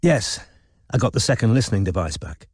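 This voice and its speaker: A man voicing an eager, businesslike woman investigator in an audiobook, brisk and matter-of-fact. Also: fairly low pitch, expressive, a little mellow, slightly rough, no room sound, studio quality. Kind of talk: audiobook character dialogue.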